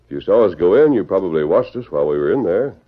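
An actor playing a calm, commanding masked hero in an old radio western, measured and authoritative. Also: male voice, low voice, slight background noise. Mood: calm, probing. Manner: measured, authoritative, probing questions. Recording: dull old radio recording.

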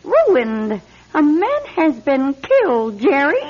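A woman's voice: sits high, huge pitch swings, takes it slowly, darkish tone, heavily acted. An actress playing an older woman who keeps a lodge, an emphatic, dramatic old family friend in a radio drama. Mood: grave, insistent. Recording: dull radio recording.

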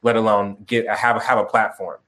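A young man guesting on a call-in interview, talking casually, rambling and halting, with many restarts and fillers and some swearing. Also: lowish voice, flat delivery, a bit bright. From Chicago, grew up in Tucson. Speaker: musician guest. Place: remote video call.

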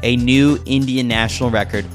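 A man with a mid-pitched voice hosts a sports podcast, reading from a scripted newsletter. His delivery is wry and opinionated as he moves between results and commentary. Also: fairly even intonation, moderate pace, music in the background.

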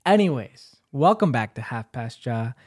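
A man's voice: medium pitch, big pitch swings, moderate pace, dry recording, clean studio sound. A young man co-hosting a casual podcast, upbeat and chatty, restarting his sentences often. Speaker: podcast co-host.

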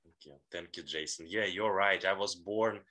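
A man, answering interview questions in an earnest, measured way. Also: sits low, bright, forceful tone. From Russia. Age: 30s. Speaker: guest.

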